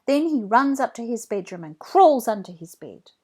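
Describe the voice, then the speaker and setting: A woman reading a children's story aloud in an expressive, deliberate read-along style. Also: on the high side, slightly rough. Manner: deliberate, animated phrasing for children.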